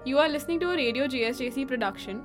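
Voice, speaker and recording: A woman's voice: high voice, animated, rapid-fire. A young woman reading scripted credits as the announcer of a radio drama. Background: music.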